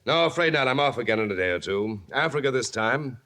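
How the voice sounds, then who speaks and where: A man's voice: mid-range voice, animated, quick pace, bright, forceful tone. Breezy, wealthy, self-important father. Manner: breezy, clipped, offhand. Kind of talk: old-time radio drama acting.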